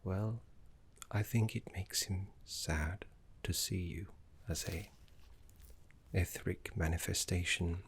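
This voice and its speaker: Man acting elderly necromancy professor, very breathy half-whisper, low, gentle, wistful, genteel, ASMR roleplay. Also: heavily acted. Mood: wistful, regretful. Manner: halting, genteel, formal wording.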